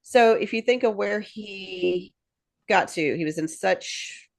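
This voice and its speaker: A woman's voice: medium pitch, takes it slowly, vocal fry. A woman co-hosting a podcast in casual chat, rambling with lots of fillers and restarts and laughing easily. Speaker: podcast co-host.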